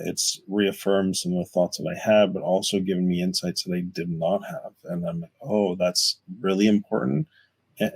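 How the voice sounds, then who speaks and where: A man's voice: sits low, creaky, rough recording. A man co-hosting a podcast, talking in an earnest, reflective way and often repeating words. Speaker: podcast co-host. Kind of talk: casual podcast chat.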